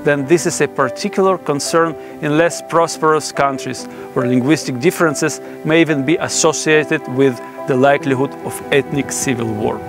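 A man in his forties with a slightly high voice delivers a scripted explainer. His phrasing is formal and academic, as a presenter setting out research. Music plays faintly behind him. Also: normal speed, few pauses. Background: background music.

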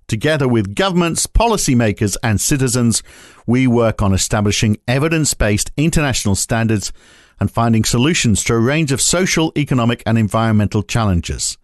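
Wry male podcast host, mid pitch, dry close-miked studio sound. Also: animated, brisk, no room sound. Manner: wry, sardonic, conversational. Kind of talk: opinionated commentary and interview.

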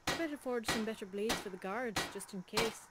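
A woman's voice: a little high, a little fast, natural voice. A woman voicing a steadfast, practical village blacksmith in a video game, earnest and resolute. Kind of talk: video game character dialogue. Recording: loud background noise with clicks.

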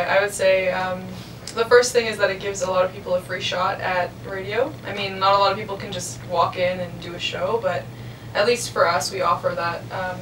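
A woman in her twenties giving casual, explanatory answers as an interview guest. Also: mid-range voice. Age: 20s. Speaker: interview guest, radio station manager. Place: room with couches, amps and instruments. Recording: echoey room, distant mic, faint noise.

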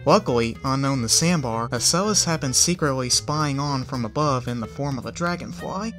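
A man reading a fan story aloud as its narrator, with an expressive delivery. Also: mid-range voice, moderate pace. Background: faint background music.

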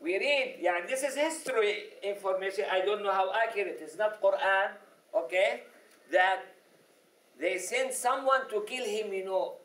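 A middle-aged man whose first language is Arabic teaches a class, pausing often to ask "okay?". His voice sits high for a man. The sound is roomy and a bit distant, as if picked up from across the classroom. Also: fairly bright tone. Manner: explanatory, frequent "okay?" and "yani" checks. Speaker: religious teacher. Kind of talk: classroom lecture. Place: classroom with whiteboard. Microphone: lapel mic. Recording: echoey room, from a distance.

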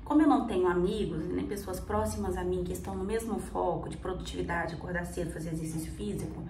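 A woman's voice: on the low side, steady tone, fast, a little mellow. A young woman with a cold chats casually and rambles as she does her makeup, answering her own questions. She sits a little back from the microphone in a small room with a roomy echo and a faint hum behind her. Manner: chatty, rambling, self-questioning. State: has a cold. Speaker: vlogger. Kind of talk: casual chat while doing makeup. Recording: roomy, slightly distant mic, faint hum.

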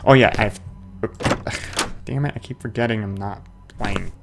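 A man's voice: fairly low pitch, measured pace, vocal fry, over loud music. A man giving casual let's play commentary on a horror game, muttering asides, exclaiming nervously and making playful little noises. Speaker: gamer commentating on his horror game playthrough.

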